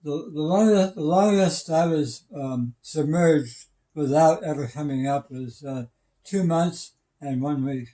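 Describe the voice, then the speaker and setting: An older man in his seventies explaining things casually, in a halting, stammering, matter-of-fact way. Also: on the high side, mellow. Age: 70s. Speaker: retired systems engineer and radio hobbyist.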